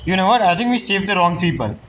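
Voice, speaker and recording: A woman's voice: mid-range voice, animated, quick pace, slight background noise. A woman game streamer giving casual, joking, offhand reactions as she plays. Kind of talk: casual gameplay commentary. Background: video game music.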